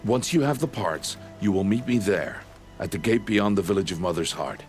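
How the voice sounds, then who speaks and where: An actor playing a stern middle-aged male outcast mentor in a video game, speaking in a deep, grave, measured and terse way. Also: expressive, faint music behind. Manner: solemn and terse. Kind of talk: video game cutscene acting.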